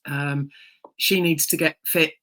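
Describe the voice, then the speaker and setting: Friendly woman with a lowish voice. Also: steady tone, fairly bright tone. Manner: friendly, halting with uhs and restarts. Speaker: podcast co-host, coach. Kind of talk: casual chat.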